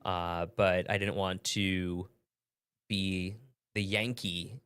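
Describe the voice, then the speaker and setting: A man's voice: deep voice, flat delivery, slow pace, a bit bright, clean tone. Chatty man with a faint Long Island accent. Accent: faint Long Island, mostly smoothed out. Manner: chatty, laughs often, trails off. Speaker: morning show co-host. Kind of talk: casual chat.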